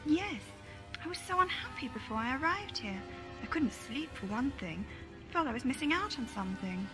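A woman's voice: high-pitched, sing-song, normal speed, music in the background, low-quality recording. A woman playing a weary, naive immigrant in a science fiction audio drama, hesitant and plaintive. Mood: naive, wistful.

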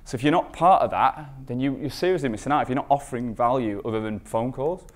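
A man's voice: medium pitch, fast talker. A man presenting as a conference speaker, informal and emphatic, often posing rhetorical questions. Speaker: conference speaker, recruitment marketing expert.

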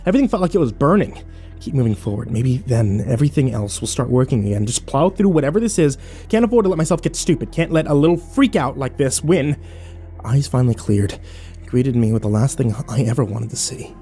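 A man's voice: mid-range voice, expressive, brisk, mellow, soft background music, close to an everyday voice. An actor playing a battered, bitter young man who narrates his nightmare in terse, sardonic, profane fragments for a horror audio drama. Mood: tense, rattled.

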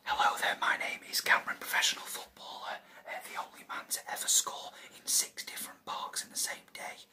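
A young man plays a boastful amateur footballer in a comedy skit. He speaks in a hushed ASMR whisper. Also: fairly quick, few pauses, lightly acted.

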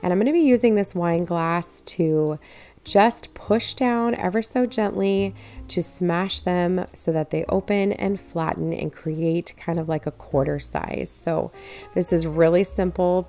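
Relaxed woman narrating a home cooking video. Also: fairly low pitch. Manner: relaxed, step-by-step instructive. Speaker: cooking channel host.

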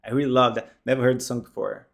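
A man from Brazil, a native Portuguese speaker with a Brazilian accent, hosting a music reaction in a halting, word-repeating way on a headset mic at home. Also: medium pitch, level tone, creaky. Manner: halting, repeats words, exclaims in delight. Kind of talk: casual live reaction commentary. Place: home studio, indoors, gaming chair.

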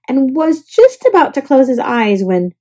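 A woman's voice: sits high, big pitch swings, measured pace, dark tone. A woman storyteller narrating a children's picture book aloud.

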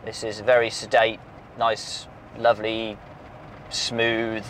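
A man's voice: on the low side, slow pace, a little hiss or hum. A man, talking casually through a car's engine work as a workshop mechanic, with lots of "um"s and restarts. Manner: relaxed, many "um"s and restarts, friendly banter. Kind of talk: casual technical walkthrough. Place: outdoor workshop yard, then inside a moving car. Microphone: lapel mic.

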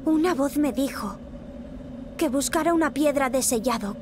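A woman's voice: sits high, animated, normal speed, background noise. A woman voicing a small, cautious young snowman boy in a video game, childlike, with a Castilian Spanish accent. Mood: puzzled. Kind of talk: video game cutscene acting. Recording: behind loud game music.

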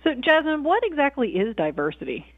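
A woman's voice: sits high, very animated, fairly quick, no echo. A woman interviewer asking prepared, plain questions. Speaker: interviewer, clinical manager. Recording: dry, phone-like sound.

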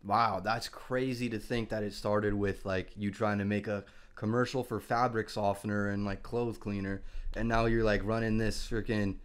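A man's voice: on the low side, level tone, normal speed, clean tone. A young man hosting a podcast over a video call on a headset, chatting casually and loosely, full of dude and bro. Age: young adult. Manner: laid-back, peppers talk with dude and bro. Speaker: podcast host. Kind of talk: casual interview chat. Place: small room at home.

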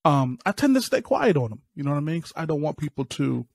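A man co-hosting a podcast, telling stories in relaxed slangy casual chat over a headset on a video call. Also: fairly high pitch, fast talker, vocal fry, dry recording. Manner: laid-back slangy storytelling. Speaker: podcast co-host.

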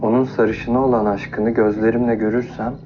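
A man's voice: medium pitch, level tone, moderate pace, background noise, natural voice. An actor playing a lovesick, hurting man in a TV drama, confiding plainly and with regret. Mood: heartbroken. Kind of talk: TV drama acting. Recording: dull audio under clear music.

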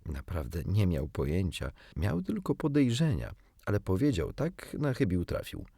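A man reading a children's storybook as an audiobook narrator, in an expressive scripted style. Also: low-pitched, normal speed, gravelly, husky, no echo, studio quality.

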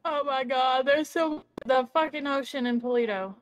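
A woman's voice: sits high, normal speed, crowd noise. A woman playing an emergency responder in a role-play game, mixing casual chat with radio call-outs. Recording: dull voice-chat audio with background noise.

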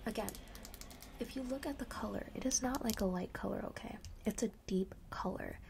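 A woman speaking in a breathy, mostly unvoiced whisper, playing a chatty, coaxing shopkeeper in a casual ASMR roleplay. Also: a little high, a little fast, background noise. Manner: coaxing, chatty, checks in often with the listener. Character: witchy perfume shop seller, friendly and persuasive. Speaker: ASMR performer playing a fragrance shop keeper. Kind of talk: whispered ASMR roleplay, casual sales talk to one customer.

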